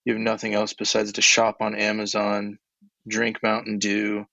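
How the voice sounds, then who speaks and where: Man, low monotone voice, casual rambling answers, lo-fi dry sound. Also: normal speed, vocal fry, dry recording. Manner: relaxed, filler-heavy, laughs between thoughts. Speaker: ed tech coach, guest.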